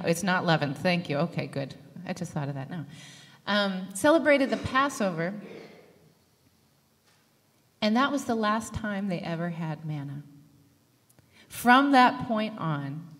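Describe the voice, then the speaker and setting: A woman in her thirties preaching a sermon into a handheld mic, lively and joking, gesturing as she talks. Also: medium pitch. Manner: lively, gestures broadly, jokes and laughs with the congregation. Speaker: pastor. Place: church sanctuary. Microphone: handheld microphone. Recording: long reverb of a church hall.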